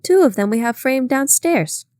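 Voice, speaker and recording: A woman's voice: sits high, lively intonation, moderate pace, a little mellow, dry recording, voice pushed up. A woman doing an eager, self-promoting artist man. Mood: earnest. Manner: earnest, eager.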